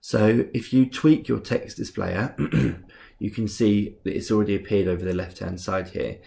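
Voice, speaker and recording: A young man with a cold walks viewers through a tutorial, his voice husky, rough and noticeably stuffed up. He speaks in a lowish register with a gravelly fry at the ends of phrases. He talks in a relaxed, unhurried way, filling the gaps with frequent ums. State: congested from a cold. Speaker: game tutorial host. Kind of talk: screen-recorded software tutorial.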